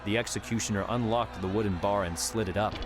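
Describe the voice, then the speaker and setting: A man narrating an audiobook in a measured, dramatic storytelling manner. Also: fairly low pitch, steady tone. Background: background music.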